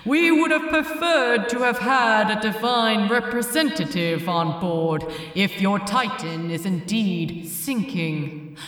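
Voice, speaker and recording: A woman acts out a stern divine spokesman for an audio story. The delivery is slow, measured and icily formal. The voice sounds distant, drenched in long cavernous reverb. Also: mid-range voice, fairly bright tone. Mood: cold disapproval. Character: cold, imperious heavenly spokesman passing judgement. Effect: heavy echoing reverb. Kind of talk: fan fiction audiobook character voice.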